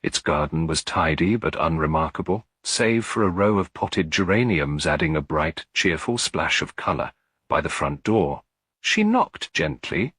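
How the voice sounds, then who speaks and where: A man's voice: sits low, fairly bright tone, slightly rough, no echo, studio quality. A man narrating a scripted cozy mystery story as an audiobook reader.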